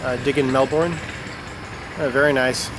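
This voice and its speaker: A man's voice: medium pitch, fairly even intonation, normal speed. A man with a stuffed-up nose, chatting casually about music outdoors and rambling with many fillers. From Chicago area. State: congested, stuffed up. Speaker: record-collecting vlogger. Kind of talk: casual unscripted chat to camera. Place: outdoors on a summer afternoon. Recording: outdoor insect and traffic noise.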